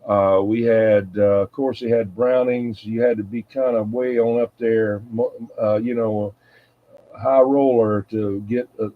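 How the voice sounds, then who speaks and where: A man's voice: lowish voice, steady tone, a little slow. A man chatting casually and folksily in a meandering way as a radio hobby show host. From United States East Coast. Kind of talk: casual rambling hobby chat. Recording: muffled, phone-like narrow sound.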